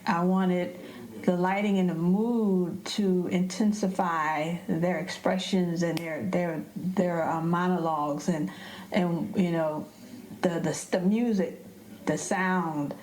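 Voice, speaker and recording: A woman's voice: lowish voice, steady tone, slow pace, a little mellow. A woman speaking as a stage director in an interview, emphatic and often searching for her words. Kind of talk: conversational interview. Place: echoey indoor theater. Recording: echoey room.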